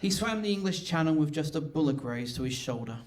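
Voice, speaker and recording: A man's voice: a little high, lively intonation, a little fast, natural voice. A man playing the dry, patient son of a detective in a live comic radio play. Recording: slightly distant stage mic.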